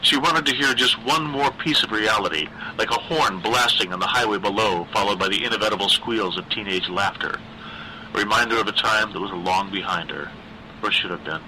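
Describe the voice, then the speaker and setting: Bright, forceful man narrating dark fiction. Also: fairly low pitch, brisk, slight background noise, low-quality recording. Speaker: story narrator, reader. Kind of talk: scripted narration of a dark horror story.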